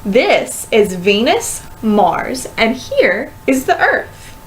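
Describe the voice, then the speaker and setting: Woman playing a strict, very slow-talking schoolteacher. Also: a little high, very animated, roomy sound, exaggerated voice. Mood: instructive. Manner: stern, emphatic, commanding. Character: strict, no-nonsense woman first-grade teacher.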